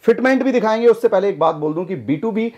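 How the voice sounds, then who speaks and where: A young man hosting a product video, talking in an energetic, salesy way on a lapel mic in a warehouse. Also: high-pitched, quick pace, noisy background. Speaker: host. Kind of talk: promotional product chat. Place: warehouse and outdoor lot.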